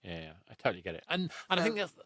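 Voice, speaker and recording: A man's voice: mid-range voice, huge pitch swings, rapid-fire, bright, forceful tone, no room sound, clean studio sound. A man hosting a podcast, chatting casually in a rambling, reflective way. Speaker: podcast host and interviewer. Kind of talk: casual interview chat.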